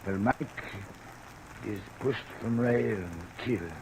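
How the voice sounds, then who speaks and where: An actor playing an excitable, effusive French workingman with a French accent and broken English in an old radio drama. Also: on the low side, takes it slowly. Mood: dramatic, dismayed. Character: excitable, expansive French-Canadian or French working man. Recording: old radio recording with faint hiss.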